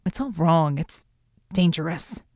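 Woman as an anxious, reluctant low-voiced male hero. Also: animated, a little slow, darkish tone, dry recording, clean studio sound. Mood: worried. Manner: hesitant, pleading, downbeat.

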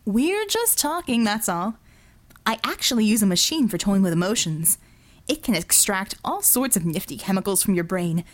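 A smug male villain from an audiobook, acted out by a woman. He sounds bored and cruelly mocking, tossing out sardonic, mock-genteel remarks with a lively, swinging pitch. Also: moderate pace, natural voice. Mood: smug taunting.

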